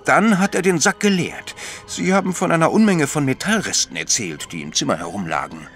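An actor playing an astute, patient priest detective in a German audio drama, explaining deliberately with gentle chiding. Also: male voice, a little high, animated, faint music behind.

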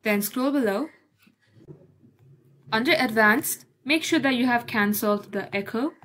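A woman with a fairly high voice reads a software tutorial aloud. She walks through each step in a plain, even instructional manner. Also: a little slow, slight background noise. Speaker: tutorial narrator. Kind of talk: scripted screen-recording tutorial.

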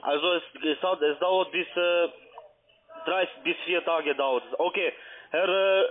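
High-pitched accented man on a phone line. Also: slight background noise. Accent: foreign. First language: not German. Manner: insistent, repetitive, halting. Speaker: call centre agent posing as customer adviser. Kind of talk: unscripted phone sales pitch. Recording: tinny band-limited phone line.

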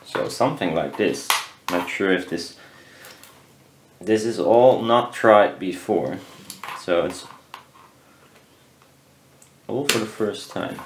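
Low-voiced man muttering in a noisy room. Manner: muttering, halting, thinking aloud. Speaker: DIY maker. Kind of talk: casual workbench commentary while building. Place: indoor workshop at a cluttered workbench. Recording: roomy echo, loud workshop noise, clicks.

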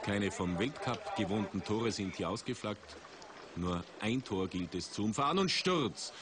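A man commentating a ski race for TV, measured and rising in excitement at big moments. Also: fairly low pitch, crowd noise. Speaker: sports commentator. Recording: 1980s TV broadcast with outdoor noise.

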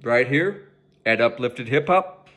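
A man's voice: medium pitch, expressive, slow, sharp tone. A young man hosting a music reaction video from his living room on a headset mic, casual and swearing freely as he hypes up the song. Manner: laid-back, swears freely, hypes up the track. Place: living room at home, indoors.

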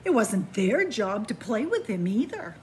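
A woman reading a picture book aloud to children in a library, with slow, expressive storytelling, hushed whispers and excited rises. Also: medium pitch, slight background noise. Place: library in front of bookshelves. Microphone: camera facing the book.

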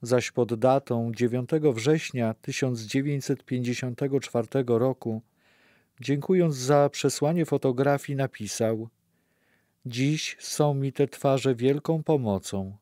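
Mellow male narrator, dry sound. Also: mid-range voice, measured pace, no room sound. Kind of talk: scripted documentary narration for an audio play.

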